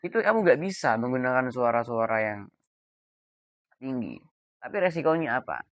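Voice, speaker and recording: A man's voice: medium pitch, big pitch swings, normal speed, no room sound. Young man explaining, dry close sound. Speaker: voice coach giving advice. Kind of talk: informal explainer talk to camera.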